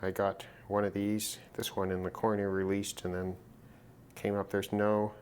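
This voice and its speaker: A man giving casual, unscripted commentary on a hands-on repair, halting and musing to himself as he works. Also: lowish voice, completely flat delivery, a little mellow. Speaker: host of a hobby radio repair video. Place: at a desk, indoors. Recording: clear background noise, handling clicks.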